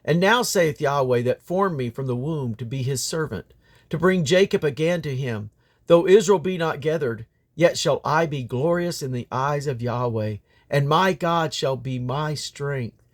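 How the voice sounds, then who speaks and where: A man reading the Bible aloud in a solemn, declamatory way, with deliberate phrasing and emphatic stresses. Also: a little high. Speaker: scripture reader.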